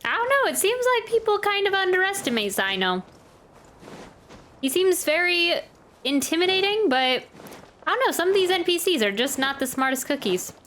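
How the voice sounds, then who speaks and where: A woman's voice: extremely high pitch, sing-song, normal speed, fairly bright tone, a little hiss or hum. A woman game streamer giving casual reaction commentary as she plays. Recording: game music under the voice. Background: video game music.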